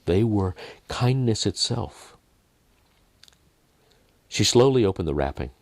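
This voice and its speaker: A man reading his own Christmas story in a dramatic, expressive way, with deliberate pauses. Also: deep voice, no room sound. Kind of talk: scripted Christmas story narration.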